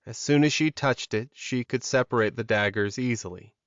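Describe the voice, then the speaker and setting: A man's voice: medium pitch, normal speed, no echo, lo-fi sound. A man reading scripted fantasy fiction as a dramatic audiobook narrator.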